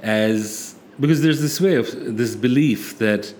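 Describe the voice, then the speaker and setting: A man's voice: mid-range voice, slow, a little mellow. A man in a casual political discussion, earnest and thinking aloud, often restarting his sentences. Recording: faint background noise.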